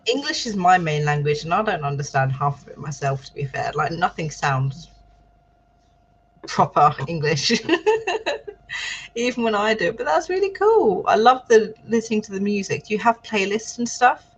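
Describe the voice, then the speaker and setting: A woman's voice: mid-range voice, big pitch swings, normal speed, husky, rough recording. Chatty, enthusiastic woman host. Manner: chatty, enthusiastic, self-deprecating. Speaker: livestream host. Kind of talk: casual chat on a writing livestream.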